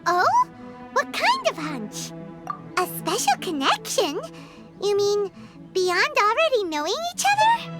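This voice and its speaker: A woman voicing a tiny, excitable, childlike fairy companion in a video game, exclamatory and quick to protect her friends. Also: very high voice, heavily acted. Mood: curious, eager. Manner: speaks of self in third person, exclamatory. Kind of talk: animated video game dialogue. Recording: music behind the voice.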